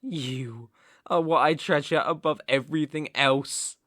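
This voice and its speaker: A man with a British accent playing a possessive, unstable mafia boss in an ASMR roleplay, brooding and deliberate, with menacing tenderness. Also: fairly high pitch, a bit bright, dry recording, studio quality. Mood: cold, possessive. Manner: slow, deliberate, brooding, condescendingly affectionate. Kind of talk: ASMR roleplay, dramatic monologue.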